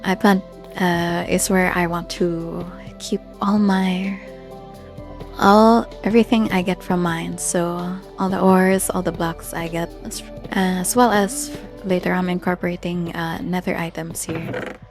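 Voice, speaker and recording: A person giving casual gameplay commentary in a hesitant, halting way, often searching for words. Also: medium pitch, steady tone. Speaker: gamer narrating own Minecraft build tour. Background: background music.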